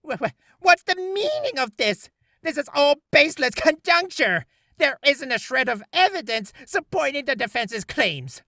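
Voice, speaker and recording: A woman's voice: high voice, sing-song, normal speed, sharp tone, no room sound, studio quality, heavily acted. A woman voicing a stern, formal courtroom prosecutor from a video game, with pointed delivery. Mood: indignant. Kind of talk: video game character voice acting.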